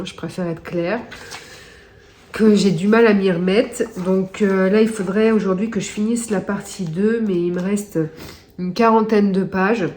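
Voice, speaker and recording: A woman's voice: mid-range voice, a little mellow, a little hiss or hum. Book vlogger lady, stuffed-up nose, chatty, lots of ums. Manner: chatty, many hesitations. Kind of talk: casual reading vlog chat.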